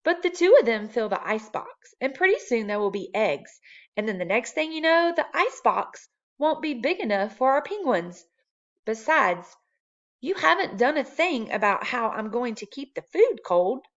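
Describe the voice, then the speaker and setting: A woman's voice: a little high, big pitch swings, normal speed, lo-fi sound, voice pushed up. A woman voicing a fretful, skeptical, practical housewife in a storybook reading. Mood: fretting.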